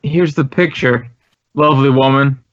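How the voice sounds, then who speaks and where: An American actor playing an earnest, courteous and talkative director of a drama school in an old radio drama. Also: male voice, mid-range voice, normal speed, dry recording, natural voice. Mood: puzzled, chatty. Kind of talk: 1950s radio acting. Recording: dull, dry old radio recording.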